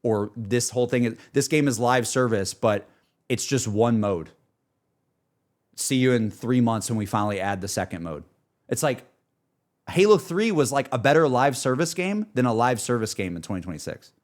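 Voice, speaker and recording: A man's voice: mid-range voice, lively intonation, moderate pace. A young adult man chatting casually on a livestream, rambling enthusiastically about gaming in a self-deprecating way. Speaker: streamer.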